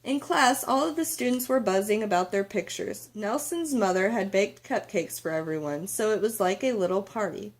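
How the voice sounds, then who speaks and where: A woman narrating a children's picture book aloud in an expressive read-aloud style. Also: medium pitch, normal speed, hardly any pauses. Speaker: storybook reader.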